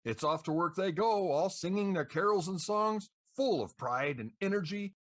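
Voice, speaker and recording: An American man with a slightly high, very animated voice hosts in a playful DJ style. The sound is dry, with little room around it. He moves between scripted banter and introductions. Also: normal speed, dry recording. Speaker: podcast co-host.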